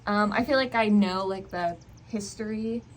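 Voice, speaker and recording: A woman's voice: medium pitch, moderate pace. A young woman in casual book-vlog chat, speaking in an informal, hedging, conversational way. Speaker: book vlogger. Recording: clear background noise.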